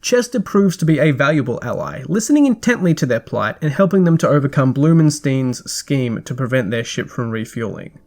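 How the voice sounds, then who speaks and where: A man hosting a podcast, reading a scripted review in a measured, articulate way with dry, wry asides. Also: medium pitch, expressive, darkish tone.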